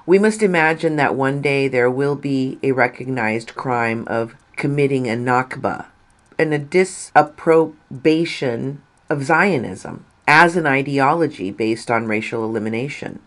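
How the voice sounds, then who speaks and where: A woman narrating a written article in a deliberate, measured way, stressing phrases and letting endings fall. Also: very deep voice.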